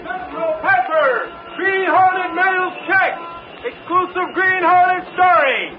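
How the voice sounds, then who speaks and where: An actor playing a newsboy hawking papers with drawn-out street cries in 1930s radio style. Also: male voice, extremely high pitch. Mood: urgent hawking. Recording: very noisy, tinny 1930s radio recording.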